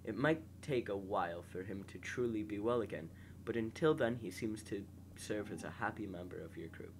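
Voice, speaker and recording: A young man with a slightly nasal, congested voice, calmly commentating a space game and reading its text aloud while muttering to himself. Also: on the low side, normal speed, a little hiss or hum. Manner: relaxed, mumbles quietly to himself while playing. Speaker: gameplay host.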